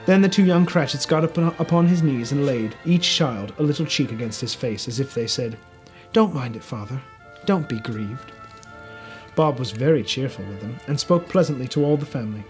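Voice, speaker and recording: A man's voice: medium pitch, animated, brisk, few pauses, darkish tone, soft background music. A man narrating a classic story as a storyteller, reading expressively from a script. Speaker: storyteller, reader.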